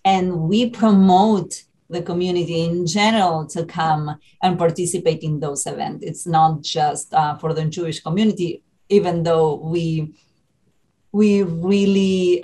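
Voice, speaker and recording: Argentine-accented woman with a lowish voice. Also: measured pace, warm tone. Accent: Argentine Spanish. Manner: earnest, explanatory, frequent ums and uhs. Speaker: community centre programming manager. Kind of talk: interview answers.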